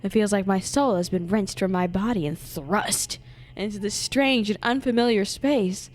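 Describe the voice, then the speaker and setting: A woman's voice: medium pitch, moderate pace. A woman streamer doing jokey live game commentary and reading dialogue aloud theatrically. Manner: jokey, playful, theatrical, swears casually. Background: game music.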